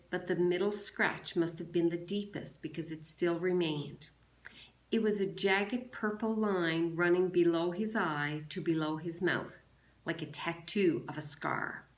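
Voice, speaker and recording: A woman teacher reading a children's novel aloud to a class in a measured, deliberate way. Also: on the low side. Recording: roomy, echoing sound.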